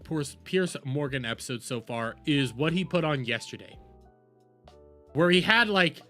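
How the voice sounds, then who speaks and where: A man's voice: on the high side, expressive, a little slow, fairly bright tone, soft background music. A man giving casual, rambling livestream commentary and reactions in a blunt, emphatic, profane way.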